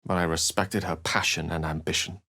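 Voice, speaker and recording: Scholarly wizard, deep voice, dry close recording, theatrical, wordy, wry, video game character. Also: male voice, flat delivery, moderate pace, pushed and bright, no echo, full character voice. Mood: grave, haunted. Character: eloquent, scholarly male wizard with a wry temper. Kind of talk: video game voice acting, dramatic narration and dialogue.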